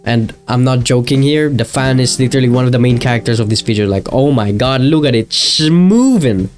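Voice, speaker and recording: Male video essayist, mid-pitched voice, informal and self-deprecating delivery, soft plucked-guitar music underneath. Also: expressive, a little fast. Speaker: video essayist, channel host. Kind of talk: casual scripted video commentary. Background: guitar and plucked-string music.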